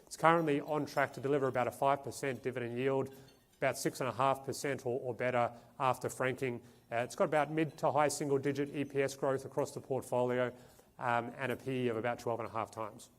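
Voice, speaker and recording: A man giving a conference presentation as a fund manager, talking in a halting way with frequent restarts and repeated words. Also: mid-range voice, fairly even intonation. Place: conference stage.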